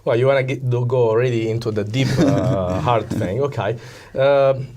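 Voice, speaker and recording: An Italian man with an Italian accent, explaining things as an interview guest in a conversational but halting way, often pausing to search for words. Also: mid-range voice, a little mellow, a little hiss or hum. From Italy. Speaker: interview guest, psychology coach.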